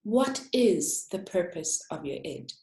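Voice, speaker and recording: A woman, an interior architect, giving a prepared, reflective talk and slowing down to stress key words. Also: lowish voice, big pitch swings, gravelly, mellow, roomy sound. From Africa.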